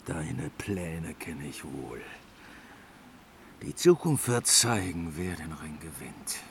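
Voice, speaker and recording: An actor playing a proud, cunning ruling god in a fantasy radio play, measured and mocking. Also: male voice, very deep voice, sing-song, vocal fry, a little hiss or hum, heavily acted. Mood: calm, aloof.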